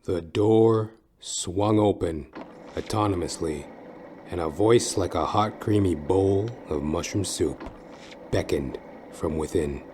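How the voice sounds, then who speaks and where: A man playing a wry, down-at-heel private eye in a comic noir detective play, deadpan and measured with dramatic pauses. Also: low voice, husky, exaggerated voice. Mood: world-weary.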